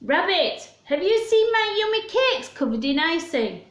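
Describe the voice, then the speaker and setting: A woman's voice: extremely high pitch, big pitch swings, a little slow, echoey room, voice pushed up, heavily acted. A woman voicing a hungry storybook bear, asking questions in an exaggerated, imploring way. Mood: anxious questioning.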